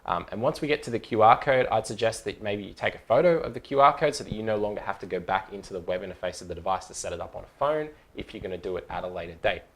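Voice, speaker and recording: A man's voice: lowish voice, expressive, quick pace, hardly any pauses. A man in his thirties presenting a casual, step-by-step tutorial in an informal, explanatory way. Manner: informal, explanatory, checks along with okay.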